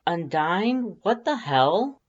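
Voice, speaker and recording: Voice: mid-range voice, big pitch swings, takes it slowly, voice pushed up. An awkward, sardonic homebody , played by a story narrator, speaking in a hesitant, self-deprecating way. The delivery is casual and the sound is slightly dull. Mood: exasperated. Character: awkward, sardonic, sleepy homebody telling their own day.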